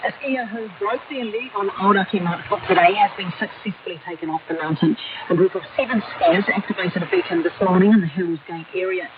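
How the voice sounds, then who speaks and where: A woman newsreader with a New Zealand accent delivers a scripted bulletin at a medium pitch. She comes over shortwave radio, narrow and distant, with clear noise around the voice. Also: brisk. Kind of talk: scripted news bulletin reading.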